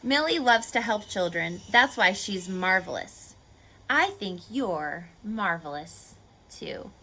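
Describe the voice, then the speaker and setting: A woman's voice: medium pitch, animated, takes it slowly, pushed and bright. A woman hosting a children's read-aloud, speaking expressively and putting questions to young listeners as she teaches. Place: on location at a horse facility.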